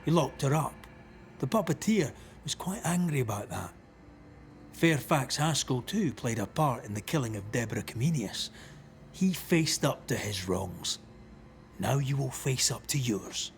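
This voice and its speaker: Grave, resolute ghost hunter. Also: male voice, medium pitch, lively intonation, soft background music. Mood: stern, accusing. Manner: measured, accusatory. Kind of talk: dramatic game cutscene acting.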